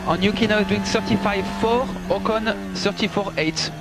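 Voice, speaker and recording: A man's voice: high voice, normal speed, creaky, loud music behind the voice. A male race engineer giving terse instructions over team radio, clipped and repeating key commands. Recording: motorsport radio with loud background sound.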